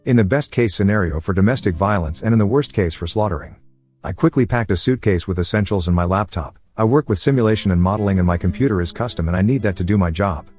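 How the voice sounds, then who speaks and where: A man narrating a forum post in the first person as a scripted story, with a brisk, steady reading manner. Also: sits low, faint music behind.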